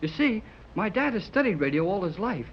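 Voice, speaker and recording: An excitable teenage boy in a 1930s radio adventure. His voice swings widely as he gushes in slangy exclamations. The old recording is muffled and clearly noisy. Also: high-pitched, fairly quick. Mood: earnest. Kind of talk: 1930s radio serial acting.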